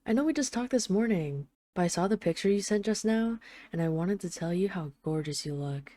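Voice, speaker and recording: Woman acting low, intimate lovesick female mafia boss. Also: animated, warm tone, no echo, natural voice. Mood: fond, affectionate. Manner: intimate, halting, sweary, addressing an absent lover. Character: lovesick female mafia boss, tough, jealous, tender with partner. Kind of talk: scripted audio roleplay, intimate voicemail monologue.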